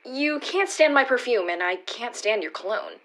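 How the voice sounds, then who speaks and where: Radio play girlfriend, woman actor: slightly high voice, anxious and earnest, halting naturalistic delivery, reasoning and pleading to keep the relationship. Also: expressive, fairly bright tone. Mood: argumentative.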